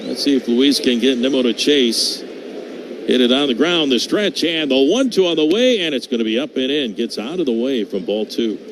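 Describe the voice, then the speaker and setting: A man calling live baseball play-by-play for radio, lifting his voice on the action and settling back between pitches. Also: normal speed. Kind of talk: live radio sports commentary. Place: open-air ballpark broadcast booth. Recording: clear outdoor ballpark noise behind.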